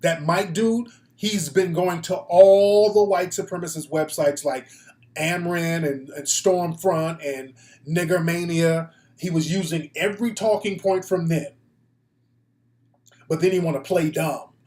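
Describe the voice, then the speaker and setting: Male American talk host, slightly high voice, blunt and profane, off-the-cuff monologue, roomy echo. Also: a little slow, a little mellow. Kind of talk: casual opinionated live talk show monologue. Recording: echoey room.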